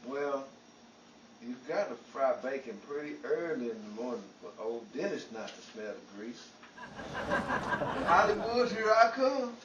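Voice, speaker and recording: Actor as self-important scheming fellow, touchy, very animated intonation, 1940s radio comedy, echoey room. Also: male voice, mid-range voice, measured pace, dull audio. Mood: scheming, cocky. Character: Dennis, cocky, scheming, touchy Hollywood hopeful. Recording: echoey room, distant mic, faint noise.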